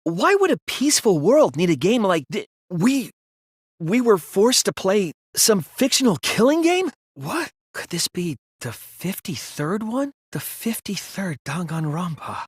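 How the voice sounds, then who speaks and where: A man voicing an earnest, sensitive young student detective in an anime video game, with intense, emotional, sometimes halting delivery. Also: sits high, sing-song, breathy edge, no echo, studio quality, heavily acted. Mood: bewildered, distressed. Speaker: voice actor.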